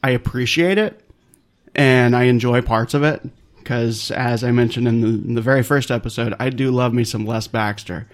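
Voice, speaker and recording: A man hosting a casual podcast, loud and jokey, often breaking into laughter. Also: mid-range voice, fairly even intonation, moderate pace. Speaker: podcast host.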